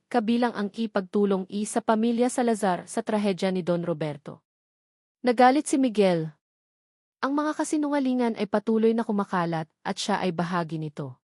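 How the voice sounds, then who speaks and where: A woman's voice: mid-range voice, a little mellow, no room sound. Woman narrating a dramatic story, dry sound.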